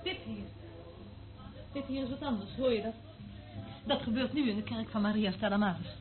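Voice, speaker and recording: An actress playing a weary, cynical surveillance worker in a radio play, speaking in a dry, dismissive way. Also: female voice, on the high side, moderate pace, music in the background, close to an everyday voice. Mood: brooding. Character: weary, cynical woman working as surveillance eavesdropper. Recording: noisy, tinny band-limited old radio recording.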